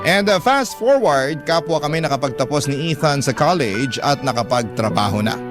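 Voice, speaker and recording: A man's voice: fairly high pitch, expressive, moderate pace. A man with a Filipino accent reading a personal story aloud as a radio storyteller. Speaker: radio show host reading a listener's letter. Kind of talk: storytelling narration. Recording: music under the voice. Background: background music.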